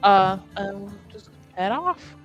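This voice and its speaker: A woman's voice: mid-range voice, expressive, slow, lo-fi sound, faint music behind. A woman playing a tabletop roleplaying game, chatting and narrating casually in an informal, jokey way and often laughing.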